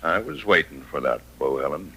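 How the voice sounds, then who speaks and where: A man's voice: rumbling low, expressive, normal speed, creaky, pushed and bright, husky, heavily acted, rough recording. An actor playing a cold, arrogant hired gunman in an old-time radio western, speaking tersely with cool menace. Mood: quiet menace.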